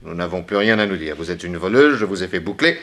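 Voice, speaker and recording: An actor in an early 1960s French radio play, voicing a sardonic, sceptical, brisk male detective. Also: deep voice, expressive, a bit bright. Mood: curt.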